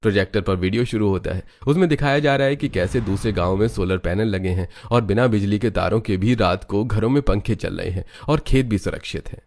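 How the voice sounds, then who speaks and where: Low-pitched male story narrator. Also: fairly quick, few pauses, darkish tone.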